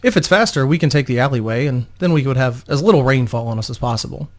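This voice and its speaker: A man's voice: mid-range voice, fast, natural voice. A man voicing a female bodyguard and adventurer who is courteous, practical and protective, in a storybook reading.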